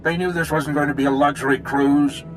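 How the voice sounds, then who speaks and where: An actor playing a self-serving, easily flustered military commander in a video game, defensive in manner. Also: male voice, on the high side, fairly even intonation, a little fast, background noise, close to an everyday voice. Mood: smug, dismissive. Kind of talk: dramatic video game acting.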